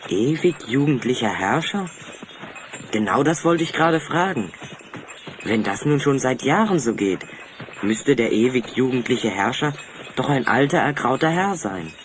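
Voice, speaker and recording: A man acts a wry hero of an adventure radio play. His mid-pitched voice is lively and varied, questioning with irony, with faint noise behind it. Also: measured pace, close to an everyday voice. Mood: ironic, curious. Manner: ironic, questioning. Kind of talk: German audio drama acting. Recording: slightly noisy cassette audio drama.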